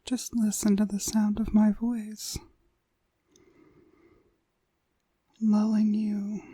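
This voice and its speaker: A seductive witch hypnotist, played by a woman, whispers very slowly in a heavily breathy voice. She is commanding and possessive, lulling the listener with deliberate phrases in an intimate ASMR roleplay. The sound is close and dry. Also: medium pitch, mellow, no echo. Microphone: close, dry microphone.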